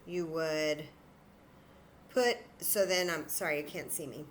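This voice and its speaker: A woman's voice: low-pitched, takes it slowly, slight background noise. A woman teaching young children in an interactive demonstration, patient and encouraging, thinking aloud as she goes. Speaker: children's story time teacher.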